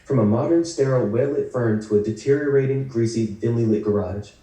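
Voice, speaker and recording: Male stage-direction narrator, scripted read, very relaxed dark tone, far from the mic. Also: medium pitch, brisk. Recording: echoey room, distant video call mic.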